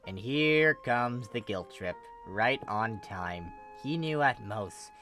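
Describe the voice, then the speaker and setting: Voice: medium pitch, lively intonation, takes it slowly, a bit bright. Story narrator over faint music. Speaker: narrator, fan fiction reader. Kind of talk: expressive amateur story reading.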